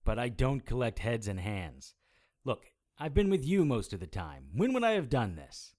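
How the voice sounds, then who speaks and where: A man's voice: medium pitch, sing-song, normal speed, a little mellow, natural voice. A man playing a wry, weary supernatural private investigator in an audio drama, with a dry, sardonic manner. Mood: reasoning, wary. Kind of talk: audio drama acting with first-person noir narration.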